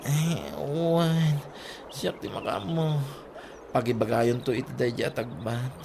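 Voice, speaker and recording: A man's voice: mid-range voice, takes it slowly. A man with an Ilocano accent acting a teasing, scolding village buddy in a comedy audio drama. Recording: clear background noise, ambience.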